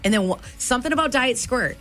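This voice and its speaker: A woman's voice: on the high side, expressive, fast, fairly bright tone, soft background music. A woman co-hosting a morning radio show, chatting casually and telling stories with animation.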